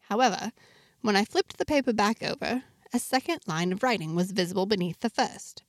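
Woman acting as an earnest outsider girl telling her space fantasy diary, pitch all over, dry close sound, dramatic pauses. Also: dry recording, close to an everyday voice. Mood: anxious curiosity. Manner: expressive, deliberate phrasing with dramatic pauses. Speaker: narrator of a fiction podcast, in character. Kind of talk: scripted first-person storytelling, audio diary.

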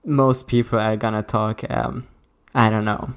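A man's voice: on the low side, level tone, measured pace, gravelly, smooth. A young man co-hosting a podcast, talking hesitantly with many "like"s and restarts. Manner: hesitant, lots of fillers and restarts. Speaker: podcast co-host. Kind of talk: casual chat.

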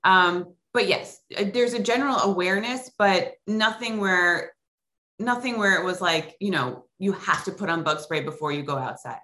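A woman's voice: on the low side, expressive, normal speed, bright, forceful tone. A middle-aged woman from Long Island, chatting as a podcast guest over a remote call, with lots of likes and ums. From Long Island, New York. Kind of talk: casual interview chat.

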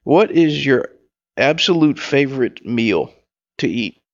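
A male radio host asks eager, wandering questions. His middle-pitched voice has a strong gravelly fry. The audio sounds dull and slightly lo-fi. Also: lively intonation, takes it slowly. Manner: enthusiastic, rambling questions.

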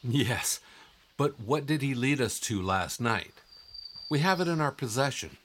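An actor playing a calm, wise and assured guide in an audio drama, instructive and encouraging in manner. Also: male voice, medium pitch, measured pace, a little hiss or hum. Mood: assured, urging. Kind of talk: scripted audio drama acting.

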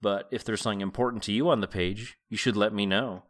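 A man with a fairly low voice hosts a solo book podcast. He sounds middle-aged and moves between reading prose aloud and informal commentary, musing as if thinking out loud. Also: steady tone, quick pace. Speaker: podcast host.

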